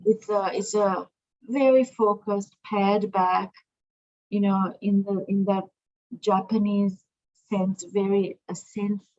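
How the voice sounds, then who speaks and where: A middle-aged woman from Sri Lanka answers interview questions as a museum director, in a voice of middling pitch. She speaks thoughtfully, pausing and restarting as she searches for the right words. The recording is dull and lo-fi. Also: steady tone, darkish tone. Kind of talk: interview conversation. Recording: dull lo-fi sound.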